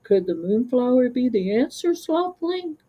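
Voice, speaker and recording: A woman voicing a wise, relaxed father sloth in a storybook, with a gentle, unhurried murmur. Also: on the high side, pitched above the performer's own voice. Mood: wise, calm.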